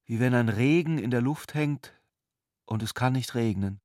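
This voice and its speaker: A man reads literary prose with a medium-pitched voice. His delivery is measured and composed. The recording is close and very dry, like a radio drama studio. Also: a little mellow, dry recording. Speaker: narrator. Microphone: close, dry studio microphone.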